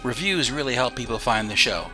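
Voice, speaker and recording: A man's voice: medium pitch, expressive, fairly quick, sharp tone. A man hosting a podcast, reading scripted credits and announcements. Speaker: podcast producer and host. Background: music.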